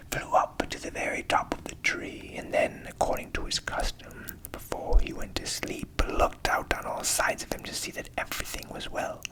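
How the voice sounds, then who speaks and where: Whispered male voice, ASMR storyteller, breathy, soothing, measured pauses, fairy tale reading. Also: rough recording.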